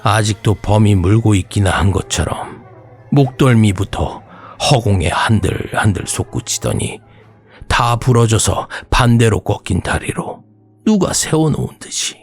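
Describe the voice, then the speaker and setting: A man narrating a scripted horror tale in a slow, suspenseful way, sometimes dropping to a hushed breath. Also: on the low side, expressive, breathy edge. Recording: music bed behind voice. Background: background music.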